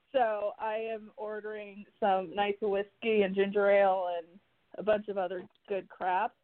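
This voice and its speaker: A woman's voice: medium pitch, flat delivery, a little slow, no room sound. Chatty woman on a tinny phone line. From Miami. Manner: chatty, lots of "like" fillers and laughs. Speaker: radio show host. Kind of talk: casual chat.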